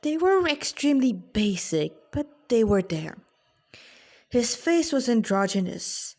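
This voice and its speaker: A woman, an author reading her own story in a dramatic, deliberate way with informal asides. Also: a little high, sing-song, darkish tone.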